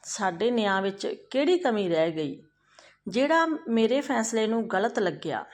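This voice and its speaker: A woman's voice: mid-range voice, very animated, unhurried. Woman voicing a stern, proud king. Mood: indignant.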